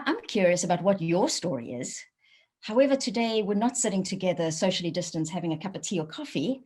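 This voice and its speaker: A woman's voice: fairly low pitch, breathy edge. A woman with a South African accent, giving an online wellbeing talk and telling personal stories with light laughs. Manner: animated storytelling with light laughs. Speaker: wellbeing speaker. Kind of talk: webinar talk. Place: home office.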